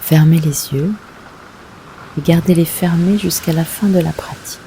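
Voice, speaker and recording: A woman leading a guided yoga nidra meditation, giving gentle, unhurried instructions with long pauses. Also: deep voice, steady tone, slight background noise.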